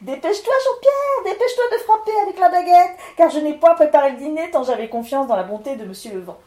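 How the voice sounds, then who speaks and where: An eager peasant wife from a fairy tale, greedy and excitable, rushes her words, put on by a woman reader. The voice runs high. The room around her is roomy and echoey. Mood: excited. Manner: eager, hurried. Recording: roomy echo.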